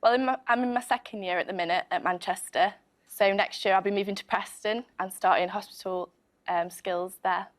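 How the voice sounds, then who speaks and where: A woman's voice: medium pitch, steady tone, brisk. Giggly young woman quiz contestant. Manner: giggly, hesitant, thinks aloud. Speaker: quiz contestant, medical student. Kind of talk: game show chat.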